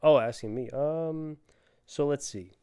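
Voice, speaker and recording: Mellow-voiced young man chatting casually. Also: on the high side, unhurried, clear, resonant tone. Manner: loose and rambling, laughs often. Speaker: podcast co-host. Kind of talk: casual chat.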